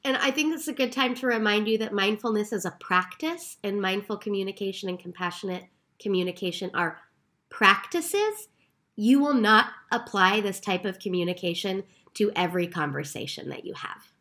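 A woman co-hosting a podcast in calm, explanatory casual chat. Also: medium pitch, moderate pace. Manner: calm, explanatory, recaps steps. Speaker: podcast co-host.

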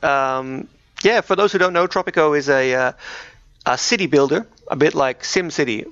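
A man's voice: a little high, a bit bright. A man co-hosting a podcast, chatting casually about video games in a chatty, dryly joking way. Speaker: podcast co-host. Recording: muffled, roomy home recording.

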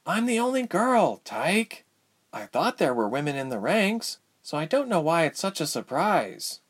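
A man's voice: fairly high pitch, big pitch swings, unhurried, breathy edge, voice pushed up, exaggerated voice. A man voicing a captive young woman in an audiobook story, earnest and bitter, with a dry, incredulous manner.

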